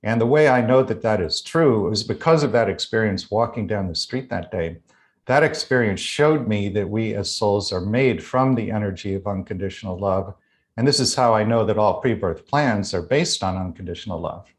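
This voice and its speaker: Measured American man with a lowish voice. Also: lively intonation. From United States. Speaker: guest speaker and author. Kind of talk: explanatory spiritual talk.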